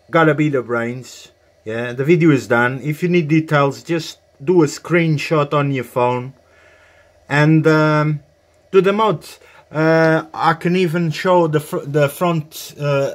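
Slow, halting man with a highish voice. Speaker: host of a hobby radio tutorial. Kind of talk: informal hands-on demonstration. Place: small indoor workbench area.

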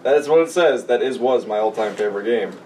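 A man's voice: mid-range voice, animated, fast talker, warm tone. A man in his twenties bantering casually with slang and swearing, sometimes reading a story aloud. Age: 20s. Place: small room, group on couches. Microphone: far camera. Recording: distant camera mic, room noise.

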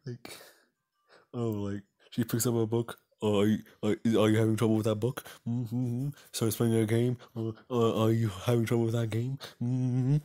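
A young adult man with a mellow, slightly soft-edged tone hosts a gaming video. He reads visual novel dialogue out loud in a theatrical way and drops in playful, joking asides as he goes. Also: lowish voice. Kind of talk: casual playthrough commentary, reading visual novel dialogue aloud.

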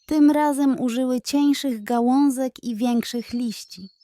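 Slow, dry-sounding woman storyteller. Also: high-pitched, mellow, dry recording. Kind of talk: scripted narration of a children's bedtime story.

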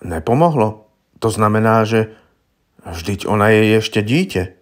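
A courteous male police investigator in an audiobook, read by a man, with a fairly low voice. He is candid and inquisitive, coaxing answers out of people with respectful, everyday phrasing. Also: lively intonation, natural voice. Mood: probing. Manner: deferential, coaxing, colloquial questioning. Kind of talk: audiobook character voice.

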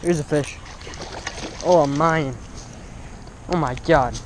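A young man commenting live on his fishing outdoors by a pond, breaking into excited exclamations with every catch. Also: on the high side, slow pace, a little hiss or hum, low-quality recording. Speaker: fishing vlogger.

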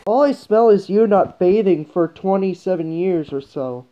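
High-voiced man, muffled comic redub. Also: animated, moderate pace, dark tone. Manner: irreverent, blunt. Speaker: parody voice-over performer. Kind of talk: comic movie redub, deadpan wisecracks. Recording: dull, muffled home recording.

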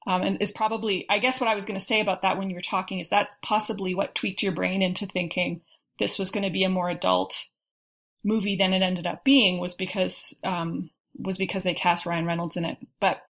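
A woman's voice: medium pitch, little pitch movement, a little fast, a bit bright. Woman chatting thoughtfully on a panel. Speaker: podcast guest. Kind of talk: casual panel discussion about films.

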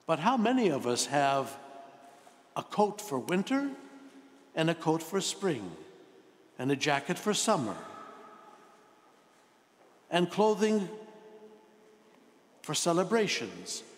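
A man in his sixties, a priest giving a sermon in a deliberate, emphatic way with rhetorical questions and pauses. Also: fairly high pitch, big pitch swings. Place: large church, indoors at the altar. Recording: long reverberant church echo.

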